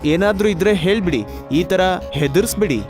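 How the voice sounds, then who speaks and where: A man's voice: sits high, moderate pace, close to an everyday voice. A man voicing a curious, sceptical young traveller in a horror story drama, with music behind him. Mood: uneasy, curious.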